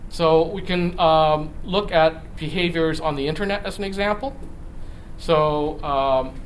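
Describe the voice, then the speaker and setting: A middle-aged man giving a conversational lecture, halting and restarting phrases as he explains with rhetorical questions. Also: on the high side. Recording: echoey room, distant mic, noisy background.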